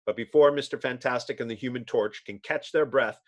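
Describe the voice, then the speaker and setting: A man's voice: medium pitch, brisk. A man giving dramatic scripted narration of a comic book, theatrical and suspenseful.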